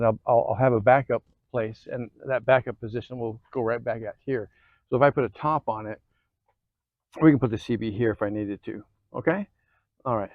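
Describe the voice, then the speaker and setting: An American man giving a casual, folksy how-to, often checking in with a quick okay or all right. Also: medium pitch, no echo, lo-fi sound. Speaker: host of an off-road vehicle DIY channel. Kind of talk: casual how-to chat to camera.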